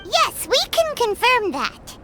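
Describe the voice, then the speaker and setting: A tiny excitable game fairy with an extremely high, squeaky child's voice. The pitch swoops wildly and words are drawn out slowly. Loud music plays behind. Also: female voice, exaggerated voice. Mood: chirpy. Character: small floating fairy companion, childlike, excitable. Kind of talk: video game voice acting.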